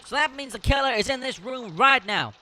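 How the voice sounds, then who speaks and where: An actor playing a stern, hard-working sibling in charge of a farmhouse in an amateur audio drama. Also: male voice, very high voice, expressive, fairly quick, pushed and bright, exaggerated voice. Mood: accusing.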